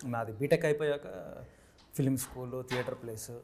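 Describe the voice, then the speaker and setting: A man's voice: mid-range voice, quick pace, a little mellow, a little hiss or hum. A man, an actor answering questions in a casual interview. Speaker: film actor being interviewed. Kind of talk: casual chat.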